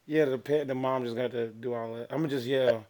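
A man with a raspy voice trades jokes in loose, slangy podcast talk. His pitch sits in the middle, neither deep nor high. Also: fairly even intonation, fairly quick, darkish tone. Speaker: podcast co-host. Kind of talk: casual chat.

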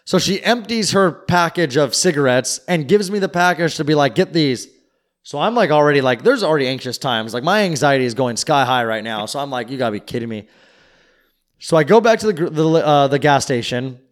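A man's voice: fairly high pitch, lively intonation, brisk. A man casually telling a long, rambling story on a podcast and swearing freely. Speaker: podcast co-host. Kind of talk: casual chat.

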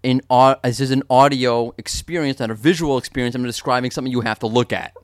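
A man's voice: medium pitch, fairly even intonation, fast talker, no echo, studio quality. A man hosting a podcast in a casual, joking way, explaining things with lots of fillers and restarts. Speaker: podcast host.

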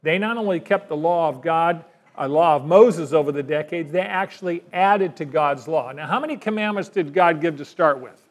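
A man's voice: a little high, moderate pace. A man preaching a sermon in an emphatic way, often asking the listeners rhetorical questions. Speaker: pastor.

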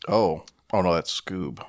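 A man's voice: low-pitched, no room sound, studio quality. A tipsy man co-hosting a comedy podcast, reacting with mock exasperation and casual swearing. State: tipsy after three shots. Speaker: podcast co-host.

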